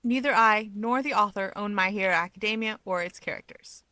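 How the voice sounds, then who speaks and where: A woman's voice: mid-range voice, brisk, pushed and bright, no echo. Woman reading stories aloud, close dry sound. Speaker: channel host and fan fiction reader. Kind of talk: scripted story narration.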